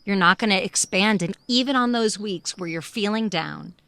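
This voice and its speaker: A woman giving animated, emphatic, encouraging advice in conversation, often acting out little bits of quoted dialogue. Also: mid-range voice, moderate pace, creaky, no room sound. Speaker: podcast guest, expat living in Spain.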